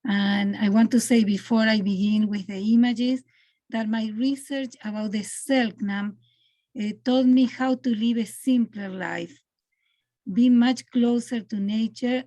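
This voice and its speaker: A woman from Argentina with an Argentinian Spanish accent, presenting her art on a video call, halting and searching for words. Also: a little high, fairly even intonation, warm tone. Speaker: presenting artist. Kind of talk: online artist presentation.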